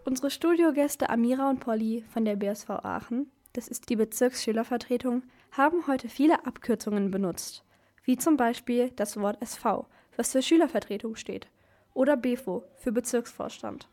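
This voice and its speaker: A woman's voice: a little high, moderate pace, a little mellow. A female radio presenter reading a scripted moderation.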